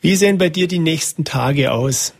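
A man's voice: on the high side, normal speed, creaky, slightly rough. A man hosting a radio interview, asking his guest questions in a conversational way. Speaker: radio host.

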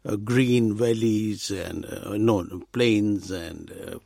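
A man's voice: fairly low pitch, drawn-out words, creaky, warm tone, no echo, clean studio sound. An older man from Punjab with a Pakistani accent, a priest answering interview questions and searching for words.

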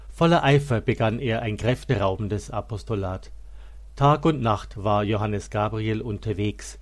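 A man reading a scripted religious biography as narrator in a solemn, measured manner. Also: lowish voice, darkish tone.

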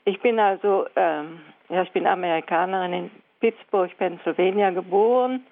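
An older woman, a nun, with a low, gravelly voice heard over a tinny phone line. She speaks haltingly, stopping to search for her words. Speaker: nun calling in.

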